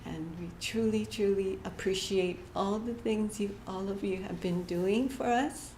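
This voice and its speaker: A woman giving a short inspirational church message, gentle and halting, often laughing at herself. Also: mid-range voice. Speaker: church speaker. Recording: echoey hall with faint room noise.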